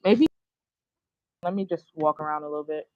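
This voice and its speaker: A woman's voice: low voice, normal speed, mellow, no room sound. Dry, muffled-sounding woman chatting casually. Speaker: actress rehearsing an audio play. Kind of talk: casual chat. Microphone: phone. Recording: dull lo-fi phone connection.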